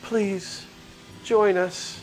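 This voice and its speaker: A man voicing a young woman in a visual novel game, a sweetly eerie cult recruiter with a soft, pleading manner. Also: high-pitched, fairly even intonation, drawn-out words, voice pushed up, poor audio. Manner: soft, pleading, coaxing delivery.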